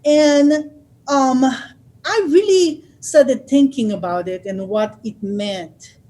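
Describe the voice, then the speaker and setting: A woman from Haiti, telling her own story as a guest in a thoughtful way, often pausing with fillers and restarting. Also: high-pitched, dark tone. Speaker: author and guest speaker. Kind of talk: personal storytelling.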